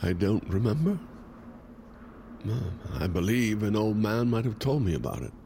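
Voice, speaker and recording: An actor playing a guilt-worn, wry brother in an audio drama, speaking haltingly and naturalistically. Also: male voice, fairly low pitch, big pitch swings, warm tone, slight background noise. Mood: defensive, hesitant.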